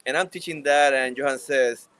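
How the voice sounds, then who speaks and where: A man with a Puerto Rican accent co-hosting a podcast at a live event, playful and quick to joke and laugh. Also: medium pitch, crowd noise. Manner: playful, joking, laughs often. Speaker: podcast co-host. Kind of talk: casual chat at a live event.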